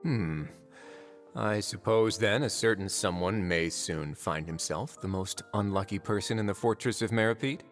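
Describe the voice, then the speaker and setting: An actor playing a composed, unhurried prison administrator in a video game, with dry, teasing understatement. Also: male voice, lowish voice, faint music behind, natural voice. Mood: wry, composed. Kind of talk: English video game voice acting.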